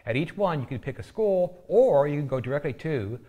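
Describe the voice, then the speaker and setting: A middle-aged American man appearing as a guest on a local TV show, explaining in an unhurried, halting way with many pauses. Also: fairly high pitch, animated, phone-quality sound. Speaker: school system guest. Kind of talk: casual studio chat.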